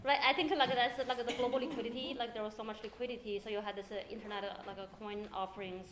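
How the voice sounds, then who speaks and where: A woman speaking as a hedge fund manager on a panel, talking in halting bursts full of "like" and "uh" fillers. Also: fairly high pitch, steady tone, fairly bright tone, slight background noise. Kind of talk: unscripted panel discussion. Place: event hall with audience. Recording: echoey room.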